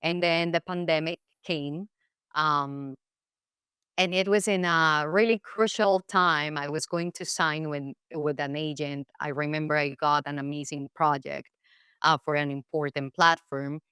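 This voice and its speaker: A woman's voice: deep voice, steady tone, a little slow, bright, forceful tone, clean tone, no room sound, studio quality. A Colombian woman whose first language is Spanish, speaking English with a Colombian accent, reflecting as an interview guest with many fillers and restarts. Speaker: guest actress. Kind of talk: casual interview chat.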